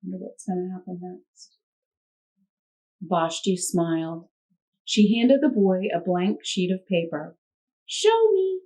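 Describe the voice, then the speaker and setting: A woman reading a picture book aloud to children, expressive, pausing to ask gentle prompting questions. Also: fairly low pitch, low-quality recording. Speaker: puppet show storyteller.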